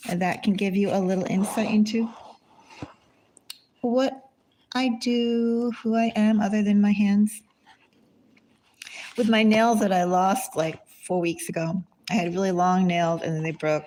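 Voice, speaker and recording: A woman's voice: mid-range voice, fairly even intonation, unhurried, pauses often, warm tone, a little hiss or hum, rough recording. A woman giving a casual tarot reading, rambling and thinking aloud, asking herself small questions as she goes. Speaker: tarot reader. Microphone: phone.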